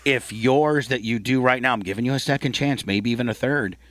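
A man's voice: mid-range voice, brisk, fairly bright tone, no room sound. A man hosting a loose comedic radio show, rambling and bossy as he teases the people around him.

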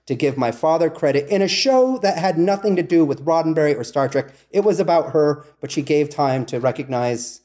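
A man with a soft-edged, mellow voice pitched a little high talks as a podcast guest. His delivery is informal and conversational, halting and doubling back to correct himself. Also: expressive. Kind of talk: casual conversational storytelling.

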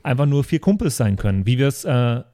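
Man, medium pitch, podcast host, casual friendly-group chat, moderating questions. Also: very animated, fairly quick, warm tone.

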